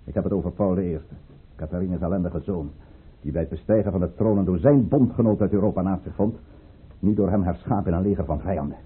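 Commanding, husky, low-voiced conspirator count. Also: male voice, fast. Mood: grave, contemptuous. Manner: declamatory, commanding, rhetorical. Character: ruthless, fervent count leading a conspiracy against the tsar. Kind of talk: Dutch radio play acting. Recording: muffled, narrow-band old radio recording.

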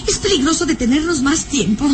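A woman's voice: high voice, lively intonation, fairly quick, faint music behind. An actress playing an anxious young woman, pleading and melodramatic, in an old radio serial. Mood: frightened, pleading. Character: beautiful, anxious young woman, devoted daughter.

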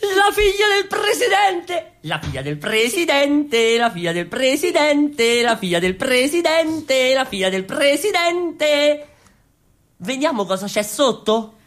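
Woman playing an excitable, high-voiced rebel clown. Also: slow pace, shouting, full character voice. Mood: giddy. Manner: exaggerated, repetitive, clownish. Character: excitable, naive, lovestruck male rebel clown. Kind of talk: theatrical comic stage acting.

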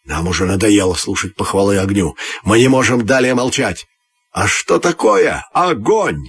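A man's voice: fairly low pitch, lively intonation, moderate pace, breathy edge, no room sound, heavily acted. A man voicing boastful, indignant burning logs in a children's audio fairy tale, in a bragging, exclamatory way. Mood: fed up.

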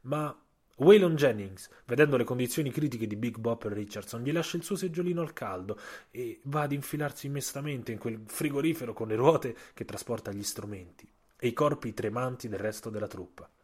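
Husky man telling a story. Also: mid-range voice, brisk, a little mellow. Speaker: writer-narrator of an audio story. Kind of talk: scripted literary radio storytelling.

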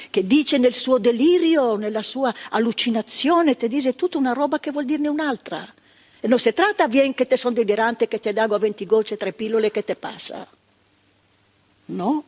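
A thoughtful woman who worked in a psychiatric hospital, speaking. Her voice is husky and breathy, and her pitch rises and falls a lot. It sounds like a theatre stage with a long, ringing reverb. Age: older. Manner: reflective, searching, repeating words for weight. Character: earnest woman psychiatric worker recalling the past. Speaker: actress in a stage monologue. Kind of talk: theatrical monologue.

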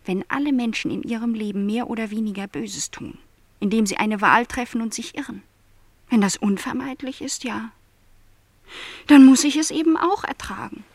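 A young housemaid in a radio play, with a fairly high voice in a dry, close recording. She is lonely, naive and earnest, full of yearning, and now and then grows hushed. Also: moderate pace, no echo. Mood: earnest, determined. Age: young adult. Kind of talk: German radio play dialogue.

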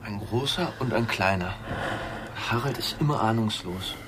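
An actor playing a gentle, troubled man who muses in everyday words, in a naturalistic radio drama. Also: lowish voice, lively intonation, moderate pace, noisy background, natural voice. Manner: musing, troubled, colloquial. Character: gentle, brooding man haunted by violent imaginings.

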